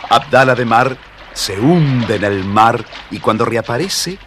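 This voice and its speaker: A man narrating a scripted children's story in a measured, dramatic manner. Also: mid-range voice, expressive, noisy background.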